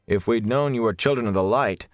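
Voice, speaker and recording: A man's voice: medium pitch, a little fast, dry recording, studio quality, faint music behind, voice pushed up, close to an everyday voice. A man voicing an earnest young village man in an audiobook, with a pressing manner. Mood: earnest, hurried.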